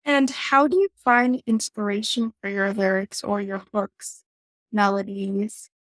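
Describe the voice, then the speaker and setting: A woman's voice: medium pitch, steady tone, measured pace, a bit bright, no room sound. A woman hosting an interview podcast, giving introductions and asking prepared questions.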